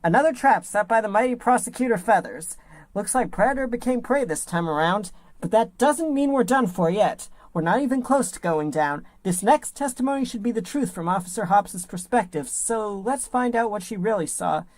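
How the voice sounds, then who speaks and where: A woman's voice: medium pitch, lively intonation, moderate pace, a little mellow, dry recording, lightly acted. A woman playing a supportive animal assistant to a defense lawyer in an audio drama, talking in an encouraging, conversational way. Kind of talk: fan audio drama acting.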